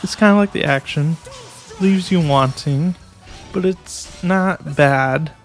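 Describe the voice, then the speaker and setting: A man's voice: fairly high pitch, takes it slowly, soft background music. A young man giving a casual video game review, hesitant and restarting his sentences often. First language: English.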